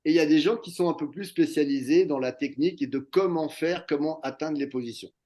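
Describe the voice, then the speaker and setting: Hesitant man pitching on a video call. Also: brisk, mellow. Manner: hesitant, many fillers and repeated words. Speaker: network marketing team leader hosting a meeting. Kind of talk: enthusiastic recruiting pitch.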